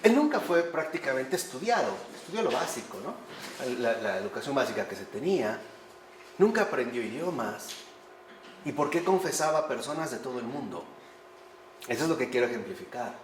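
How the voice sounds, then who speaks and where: A man teaching a lively lecture on faith, often posing rhetorical questions to his listeners. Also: medium pitch, a little hiss or hum. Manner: animated, rhetorical questions to the audience. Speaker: priest teaching a class. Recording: echoey room with faint ambience.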